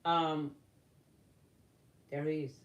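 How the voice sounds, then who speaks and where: A woman from Somalia, giving earnest opinion commentary to camera, halting with many fillers and restarts. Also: low-pitched, level tone, recorded from a distance, highs cut off. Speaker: vlogger. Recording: roomy, voice from off-mic.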